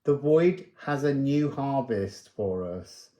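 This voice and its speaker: A man's voice: mid-range voice, lively intonation, slow, dark tone, roomy sound. A man giving an unscripted spiritual card reading, musing and meandering as he drifts between thoughts. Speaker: oracle card reader and host.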